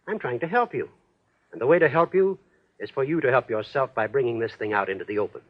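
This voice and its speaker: An actor playing an earnest, insistent physician in 1940s radio drama, with formal, deliberate, persuasive diction. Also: male voice, medium pitch, animated, close to an everyday voice. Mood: urgent coaxing. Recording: dull old radio drama recording.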